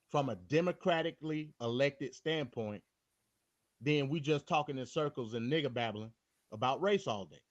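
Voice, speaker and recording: Blunt man on a dull phone line. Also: on the high side. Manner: blunt, profane. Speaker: call-in guest. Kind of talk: casual political argument.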